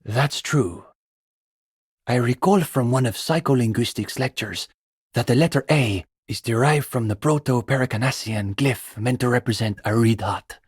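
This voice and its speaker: An actor playing an earnest young communist student intellectual in a video game, speaking in an articulate, pedantic way. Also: male voice, medium pitch, moderate pace, breathy edge, no echo, studio quality, lightly acted. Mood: curious, probing. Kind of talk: video game dialogue acting.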